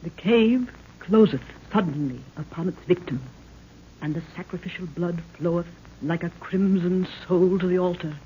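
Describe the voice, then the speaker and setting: A woman's voice: lowish voice, moderate pace, lightly acted. A woman acting a regal African queen in an old-time radio serial, with archaic, declamatory, solemn speech. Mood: grave, stately. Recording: muffled, noisy old radio recording.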